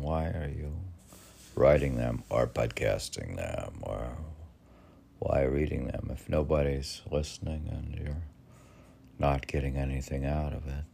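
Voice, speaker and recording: An older man reading poetry informally, with halting, musing asides in which he asks and answers himself. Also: rumbling low, vocal fry, dark tone. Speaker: poetry reader and podcast host.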